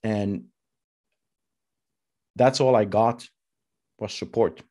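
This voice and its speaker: A man in his thirties giving a personal talk as a speaker, telling his story in short, halting, deliberate phrases. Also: lowish voice, fairly even intonation, warm tone. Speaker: conference speaker. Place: indoors at home, video call.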